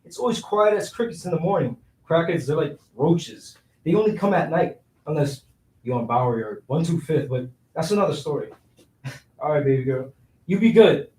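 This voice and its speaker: Casting: male actor as brash young ladies' man, high voice, street slang, teasing swagger, caught distant in a roomy space. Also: expressive, normal speed, darkish tone, a little hiss or hum, close to an everyday voice. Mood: cocky, playful. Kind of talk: staged reading of a one-act play. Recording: roomy echo, voice far from mic.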